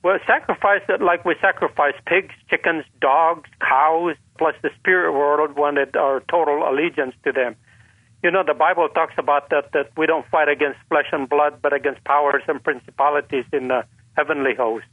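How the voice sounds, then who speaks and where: A man's voice: fairly high pitch, fairly even intonation, normal speed, dry recording. A man in his seventies from the northern Philippines, a guest telling his life story in an earnest way and repeating words for emphasis. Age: 70s. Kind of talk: conversational testimony. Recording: tinny phone line.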